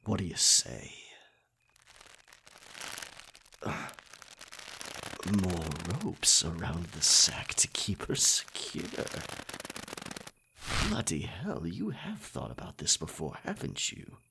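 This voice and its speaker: A man playing a dominant, sly pirate captain in a roleplay ASMR, with a raspy voice and slow, deliberate theatrical delivery. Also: deep voice, huge pitch swings, noisy background, exaggerated voice. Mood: teasing, amused. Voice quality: raspy, gravelly.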